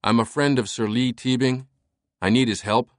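A man's voice: mid-range voice, fairly even intonation, normal speed, no echo, clean studio sound, pitched above the performer's own voice, natural voice. A man voicing an earnest, courteous scholar who pleads politely and urgently in an audiobook.

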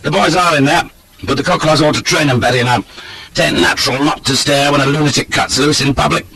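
Audiobook role: flippant cad, acted by a man, leering and mocking, bright forceful delivery. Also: a little high, quick pace, pitched above the performer's own voice. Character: leering roguish scoundrel, cocky and flippant.

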